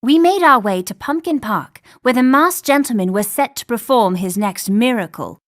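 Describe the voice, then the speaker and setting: A woman voices an earnest young storyteller in an animated mystery game. The pitch is slightly high and the delivery scripted. The sound is dry, with no room around it. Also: normal speed, no echo, lightly acted. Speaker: narrator.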